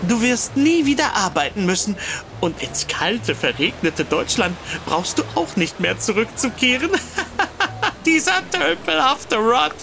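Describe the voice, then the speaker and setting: A scheming, self-congratulating woman in a comic radio play. Her voice is on the high side and swoops with glee. A faint background noise runs underneath. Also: sing-song, a little slow, creaky, heavily acted. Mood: gloating. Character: gleeful, scheming woman gloating to herself. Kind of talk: comedic audio drama monologue.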